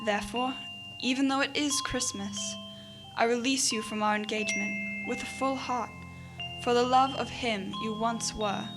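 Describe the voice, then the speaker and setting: Over music, a woman plays a gentle young fiancée in a period radio play. The voice is high, earnest and measured, full of resigned sorrow. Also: steady tone, natural voice. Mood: sad, resigned. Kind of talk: radio drama acting.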